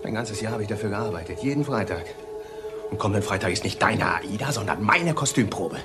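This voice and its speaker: An actor playing a grown son in a crime radio play, polite but insistent. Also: male voice, fairly low pitch, lively intonation, fairly quick, over music, natural voice. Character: grown son living with his demanding mother. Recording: noisy radio play recording.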